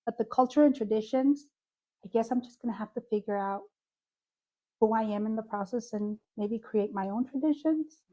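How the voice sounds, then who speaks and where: Mexican-born woman, lively storytelling. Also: on the high side, moderate pace, poor audio. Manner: animated storytelling, drops Spanish phrases. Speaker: guest. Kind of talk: casual podcast chat.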